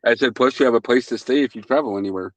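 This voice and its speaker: A man's voice: mid-range voice, very fast, no room sound. A man interviewing a guest, giving brief encouraging responses and asking follow-up questions. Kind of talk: casual conversational interview.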